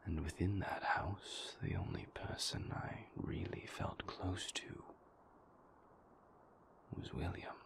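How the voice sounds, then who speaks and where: Low, gravelly, whispery brooding vampire man acting. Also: fairly even intonation, full character voice. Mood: weary, confessional. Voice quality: breathy, gravelly near-whisper. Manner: halting, measured, formal confessional phrasing. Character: brooding, remorseful male vampire boyfriend, former royal enforcer. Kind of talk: intimate audio roleplay monologue.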